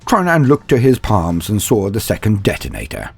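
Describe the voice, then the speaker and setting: A man narrating a scripted fantasy story in a grandiose, theatrical manner, with wry, deliberate pauses. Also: on the low side, wildly swooping pitch, vocal fry, darkish tone, slightly rough. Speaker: narrator of fan fiction lore. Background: background music.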